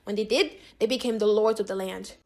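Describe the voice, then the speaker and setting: A woman narrating an audiobook in an expressive, dramatic storytelling style. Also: medium pitch, fairly quick.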